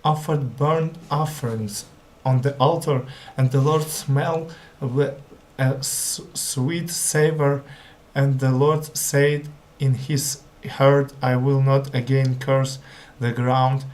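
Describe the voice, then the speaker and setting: Slow, hesitant non-native English reader, male. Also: medium pitch, fairly even intonation, a little mellow, poor audio. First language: not English. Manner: hesitant, stumbling, repeats words. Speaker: language learner. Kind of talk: halting read-aloud of scripture.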